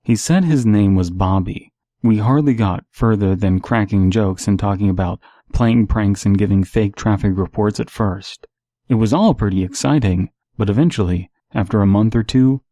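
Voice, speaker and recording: A man's voice: fairly low pitch, moderate pace, warm tone, no echo, studio quality. A man reading stories aloud and giving casual, analytical commentary as the host.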